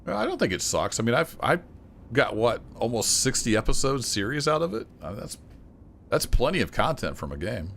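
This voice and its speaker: A man streaming a city-building game, chatting casually and thinking aloud as he plays, his voice a little rough. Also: mid-range voice, normal speed, a little hiss or hum. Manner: relaxed, thinking aloud with fillers and restarts. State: slightly rough voice. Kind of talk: casual gameplay commentary.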